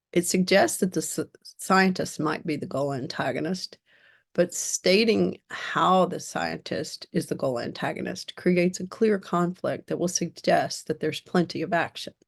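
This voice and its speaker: A woman giving a prepared critique as a reviewer, in a measured, direct and instructive way. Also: fairly low pitch, creaky. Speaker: script reviewer.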